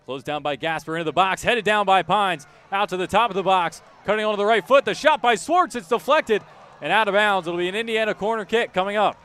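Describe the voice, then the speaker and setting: A man calling live sports play-by-play as a broadcast commentator, lifting his excitement when the action peaks. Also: sits high, brisk, clean tone. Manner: excitement rises sharply on big plays.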